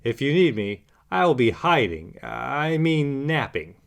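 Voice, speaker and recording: A wry female house cat from a children's storybook , as a man puts her on. She is aloof and teasing, delivering dry remarks with expressive intonation. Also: mid-range voice, a little slow, gravelly.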